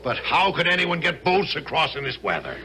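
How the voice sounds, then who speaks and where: An actor playing a jovial, domineering middle-aged Hessian colonel in a radio drama, hearty, condescending and commanding. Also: male voice, on the high side, sing-song, brisk, sharp tone, exaggerated voice. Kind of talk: old-time radio drama acting. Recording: noisy vintage radio drama recording.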